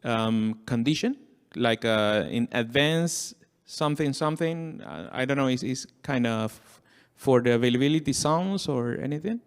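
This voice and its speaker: A man in the audience asking questions haltingly, searching for words. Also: medium pitch, clear, resonant tone. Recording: long reverb of a conference hall.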